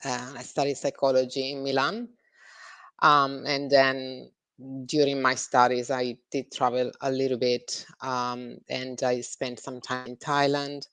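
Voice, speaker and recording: A woman's voice: extremely low pitch, little pitch movement, unhurried, fairly bright tone, clear, resonant tone, no echo. A woman, answering interview questions as a psychologist, hesitant with many fillers. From Italy. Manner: hesitant, many ums and uhs. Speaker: guest psychologist.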